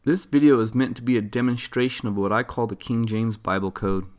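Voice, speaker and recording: A man's voice: mid-range voice, steady tone, fairly quick. A man giving scripted explanatory narration in an earnest, didactic manner. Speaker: video narrator.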